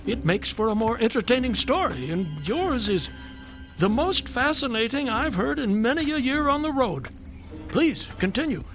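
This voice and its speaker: An actor playing a courteous, curious and amused traveller in a radio drama, questioning in a genteel, wry, theatrical way. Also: male voice, extremely high pitch, very animated, normal speed, exaggerated voice. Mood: delighted, coaxing. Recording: music under the voice. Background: background music.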